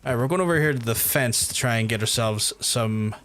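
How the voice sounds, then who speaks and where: A man with a softened Belfast accent, chatting casually and rambling as he streams a game, swearing freely. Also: mid-range voice, a little fast, a little hiss or hum. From Belfast, Northern Ireland. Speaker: live streamer. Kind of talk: casual gameplay commentary and chat with viewers.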